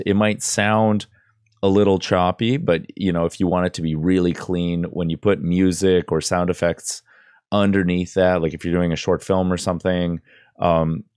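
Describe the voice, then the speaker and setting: A man's voice: sits low, steady tone, normal speed, darkish tone, clear, resonant tone, no room sound. A young man hosting a casual audio tutorial, rambling with many fillers and restarts, close to a studio microphone in a small room. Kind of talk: casual screen-recorded tutorial. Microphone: studio microphone close up, headphones on.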